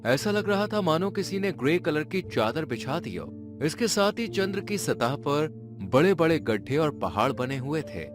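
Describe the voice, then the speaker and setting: A man's voice: on the high side, sing-song, unhurried. A man narrating a scripted dramatic story in a suspenseful storytelling manner. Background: background music.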